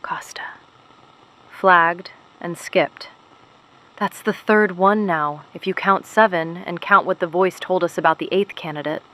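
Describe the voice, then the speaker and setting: Woman playing resistance radio host, slightly low female voice, solemn, measured, reflective, dramatic scripted narration. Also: bright, forceful tone, poor audio. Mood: haunted, pondering.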